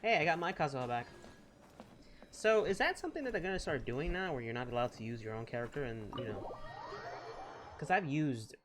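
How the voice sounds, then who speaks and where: A man's voice: medium pitch, very animated, a little fast, pauses often, background noise. A man giving casual playthrough commentary, thinking aloud in an informal way. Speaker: gaming streamer. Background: game music.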